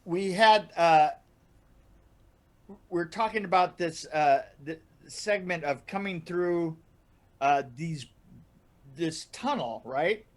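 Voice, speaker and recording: A middle-aged man telling casual stories in an animated way, often chuckling and restarting his phrases. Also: on the high side. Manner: animated, laughs through his stories, halting starts and repeats. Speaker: podcast storyteller and guest regular. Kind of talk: casual anecdotal storytelling. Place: indoor room, video call.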